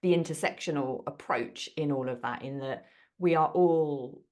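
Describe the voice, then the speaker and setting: A woman's voice: deep voice, normal speed, darkish tone. A woman hosting a podcast, talking in a reflective, exploratory way as she works through her thoughts. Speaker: podcast host.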